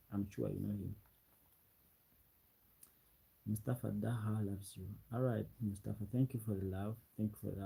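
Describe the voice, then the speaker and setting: A man's voice: on the low side, creaky, slightly rough, poor audio. A young man from Uganda, chatting loosely on a late-night livestream, often repeating himself. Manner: repeats phrases, relaxed and rambling. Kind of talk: late-night livestream chat, reading comments.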